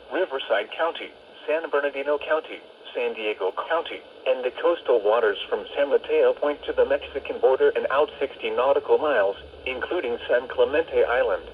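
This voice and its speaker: A man reading a scripted public announcement as a weather radio announcer. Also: mid-range voice. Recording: tinny narrowband radio, faint noise.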